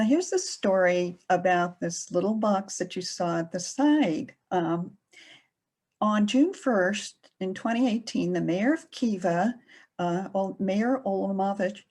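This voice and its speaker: A middle-aged woman librarian giving a presentation, speaking slowly with many pauses and fillers. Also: mid-range voice, a little mellow. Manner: slow, hesitant with many fillers. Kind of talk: presentation talk.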